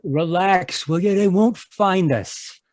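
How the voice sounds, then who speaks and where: An actor playing a hot-tempered American small-time crook in an old-time radio crime drama, talking in gruff, slangy tough-guy style. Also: high-pitched, slow, darkish tone, dry recording, studio quality. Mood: edgy, gruff. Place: performed from home on a video call.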